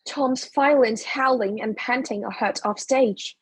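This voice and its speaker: A woman narrates a play reading. Her voice is very breathy and husky, set a little high. She reads the stage directions slowly and plainly. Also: dry recording, poor audio.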